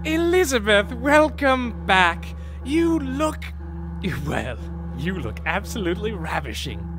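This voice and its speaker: An actor playing a gleefully mad, pompous scientist in an audio drama, theatrical and sardonic in delivery. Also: male voice, sits high, sing-song, slow, noisy background, exaggerated voice. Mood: awkwardly courtly.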